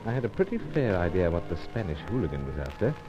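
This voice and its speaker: A man's voice: low voice, very animated, fast. An actor playing a suave British secret agent in a vintage radio thriller, clipped and understated in his delivery. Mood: dramatic narrating. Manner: clipped, understated, dropping words. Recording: muffled old radio recording, faint music.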